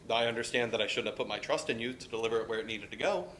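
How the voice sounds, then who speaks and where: A man's voice: medium pitch, very fast, a bit bright, a little hiss or hum, lightly acted. A man acting a stern, by-the-book office boss in a dramatic play reading.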